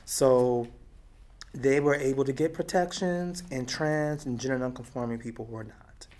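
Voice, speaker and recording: A middle-aged woman from Texas with a deep, raspy voice, talking and laughing her way through memories in an oral history interview. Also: a little slow. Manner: lively, talkative, laughs often. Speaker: activist interviewee.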